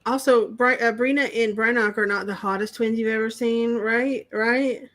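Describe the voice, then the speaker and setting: A woman's voice: on the high side, steady tone, moderate pace, a bit bright. Teasing, giggly woman co-host. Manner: teasing, laughs often. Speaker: co-host and friend. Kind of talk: casual live-stream chat.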